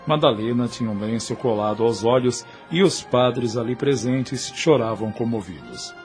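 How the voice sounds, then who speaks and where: A man narrating a scripted audio novel in a solemn, measured storytelling manner. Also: medium pitch, expressive, a little mellow, faint music behind.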